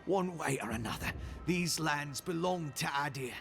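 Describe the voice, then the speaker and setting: Gravelly, bitter dying imperial loyalist. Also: male voice, a little high, moderate pace, a bit bright, soft background music. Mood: bitter, defiant. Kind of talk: dramatic video game cutscene acting.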